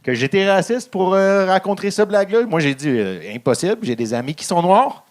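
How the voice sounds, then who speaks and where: Young anglophone guy, Quebec accent, deadpan dark stand-up, slightly high voice, hesitant delivery. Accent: English-speaking Quebecer speaking French. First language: English. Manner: halting with many ums, deadpan dark jokes. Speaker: stand-up comedian. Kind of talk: stand-up set.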